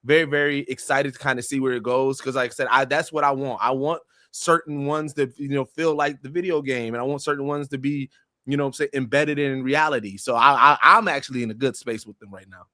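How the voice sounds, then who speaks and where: A man's voice: mid-range voice, steady tone, a little fast, pushed and bright, no echo. Man chatting casually, close dry sound. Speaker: podcast panelist. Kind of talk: casual panel chat.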